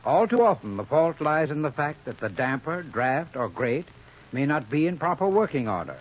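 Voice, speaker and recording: A man's voice: a little high, big pitch swings, normal speed. A man reading a scripted 1930s radio commercial as an expert spokesman, with formal, instructive phrasing. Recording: muffled 1930s radio recording.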